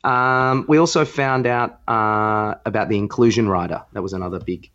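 A man's voice: fairly low pitch, moderate pace, fairly bright tone. A man with an Australian accent co-hosting a podcast, giving casual opinions in a hesitant, rambling way. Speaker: podcast co-host. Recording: slightly dull lo-fi sound.

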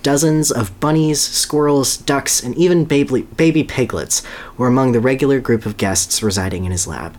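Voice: mid-range voice, normal speed, darkish tone. A podcast host gives a dramatic reading of a story, with casual asides and an amused manner. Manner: amused, breaks into laughter while reading.

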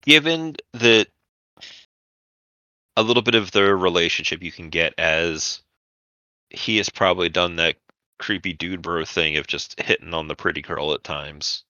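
A man narrating a tabletop roleplaying session as game master, in a halting, informal storytelling manner. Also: deep voice, sharp tone, no room sound, dull audio.

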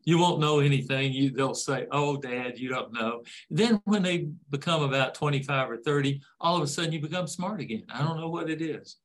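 A man's voice: fairly high pitch, brisk, few pauses, rough recording. Folksy older man hosting an interview. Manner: folksy, rambling, jokes about parenting. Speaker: interview host. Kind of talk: casual interview.

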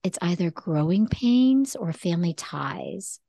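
Low-voiced woman interviewer. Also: expressive, a little slow, creaky, dark tone, no echo. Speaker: podcast host, interviewer. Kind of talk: casual interview conversation.

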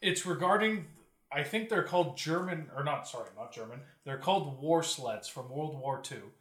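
A man's voice: a little high, animated, normal speed, fairly bright tone. A Canadian man chatting casually on a podcast as he puts questions to others, informal and loose with his language. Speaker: podcast co-host asking questions. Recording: roomy echo.

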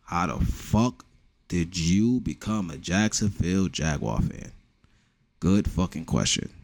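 A man's voice: low voice, lively intonation, takes it slowly, vocal fry, darkish tone, no echo. A young man with a New York accent, hosting a casual solo podcast with blunt, profane joking. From the Bronx, New York. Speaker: podcast host.

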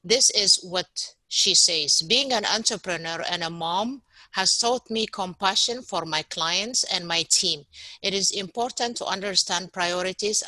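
Bright-voiced woman hosting a podcast. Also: on the low side, no room sound, poor audio. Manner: upbeat, repeats words for emphasis. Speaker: podcast host. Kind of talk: podcast interview.

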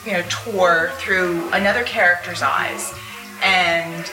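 A woman's voice: fairly low pitch, slow. A woman chatting informally on a live convention panel as a voice actor, often interrupting herself. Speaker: audio drama voice actor on a panel. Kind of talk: casual, animated chat. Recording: echoey, distant mic with clear music behind.

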